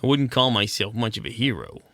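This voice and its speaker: A man's voice: mid-range voice, brisk, fairly bright tone, dry recording, studio quality, close to an everyday voice. A man playing a cynical American penguin private eye, narrating in slangy, world-weary 1940s noir style. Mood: guilty, bitter. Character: hard-boiled penguin detective, cynical and guilt-ridden. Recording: music behind the voice.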